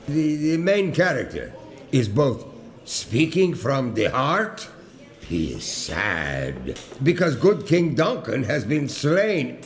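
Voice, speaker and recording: An actor playing a theatrical, dramatic male ambassador who gropes for words and recites verse with grand pauses. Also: gravelly, full character voice. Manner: slow, searching for words, with sweeping pitch. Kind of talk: audio drama acting.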